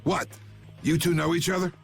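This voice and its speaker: A man voicing a gruff, commanding old guild boss in an anime video game, gravelly, blunt and quick to anger. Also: fairly high pitch, fairly even intonation. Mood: gruff, amused. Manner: blunt, swaggering, drawling. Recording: background music behind the voice.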